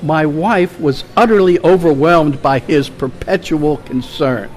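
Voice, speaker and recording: A man reading a written tribute aloud at a meeting in a measured, formal way. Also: fairly high pitch, a little hiss or hum. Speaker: club member presenting an award and reading a tribute.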